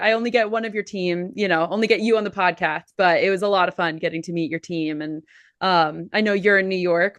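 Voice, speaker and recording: A woman's voice: fairly low pitch, fairly bright tone, no room sound. A woman hosting a podcast interview, upbeat and chatty with fillers. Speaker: podcast host.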